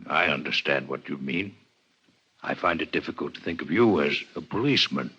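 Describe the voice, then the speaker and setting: An actor playing a courteous, learned alien doctor with formal, precise phrasing in a 1950s radio drama. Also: male voice, a bass voice, animated, moderate pace, sharp tone, slightly rough, exaggerated voice. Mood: measured. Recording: muffled 1950s radio recording.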